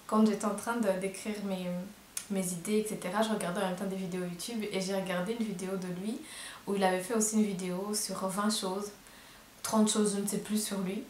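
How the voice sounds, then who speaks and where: A woman's voice: medium pitch, echoey room, a little hiss or hum. A woman in her twenties with a Belgian French accent, chatting casually and hesitantly to camera in a vlog, with many fillers. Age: 20s. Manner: chatty, hesitant, full of fillers and self-deprecating laughs. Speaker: vlogger.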